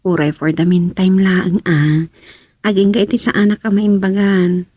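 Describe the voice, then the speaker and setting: A woman's voice: mid-range voice, level tone, moderate pace, dark tone, slightly rough, dry recording, muffled recording, natural voice. A woman with an Ilocano accent playing a village household woman in a radio comedy drama. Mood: discussing.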